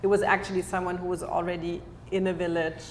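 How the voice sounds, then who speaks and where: A woman moderating a panel talk, asking measured questions and summing up what was said. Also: lowish voice, level tone, a little hiss or hum. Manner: measured, asks questions, sums up. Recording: echoey hall, faint ambience.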